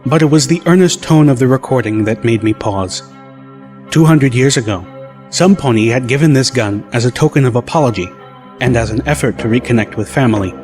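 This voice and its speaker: A man's voice: mid-range voice, fairly quick. A man reading scripted first-person story narration as an audiobook narrator. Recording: loud music bed under narration. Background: music.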